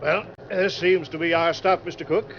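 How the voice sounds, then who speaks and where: An actor playing a suave, genial older gentleman schemer, with smooth, courtly and pompous politeness, in 1940s radio drama style. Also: a little high, fairly even intonation, moderate pace, close to an everyday voice. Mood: genial, sly. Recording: muffled, noisy old-time radio recording.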